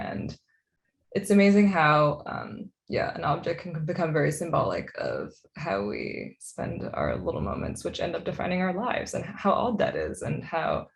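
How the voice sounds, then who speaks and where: Female, 20s, artist panelist. Expressive intonation with vocal fry, reflective and halting, conversational. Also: low voice. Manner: halting, searching, frequent "like". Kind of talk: reflective casual discussion at a virtual panel.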